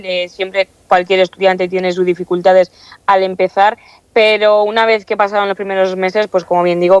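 A woman in her early twenties with an accent from Spain speaks conversationally as an interviewee. Her voice sits at a medium pitch and her delivery is earnest and emphatic. The recording is dry and a little muffled. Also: clear, resonant tone, dry recording. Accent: Spanish from Spain. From Castilla-La Mancha, Spain. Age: early 20s. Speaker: student council president being interviewed.

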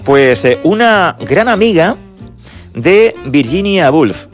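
Radio host, light music behind. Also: male voice, fairly high pitch, sing-song, slow. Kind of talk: radio book interview and literary segments.

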